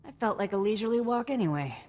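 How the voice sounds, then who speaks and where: An actress playing a tough, sarcastic bounty hunter in a video game, blunt and unimpressed. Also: female voice, medium pitch, expressive, very fast, darkish tone, lightly acted. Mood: sardonic. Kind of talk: scripted video game voice acting. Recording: hall reverb.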